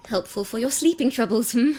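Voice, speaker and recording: An actress playing a friendly, excitable young female musician in a video game, with a theatrical, playful delivery. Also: fairly high pitch, slightly rough.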